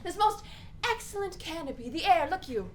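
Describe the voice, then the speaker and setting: A woman's voice: extremely high pitch, big pitch swings, moderate pace, a little hiss or hum. A woman playing a restless young prince who feigns madness, in exaggerated, theatrical Shakespearean radio drama style. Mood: melancholy, brooding. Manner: exaggerated, punctuated with giggling.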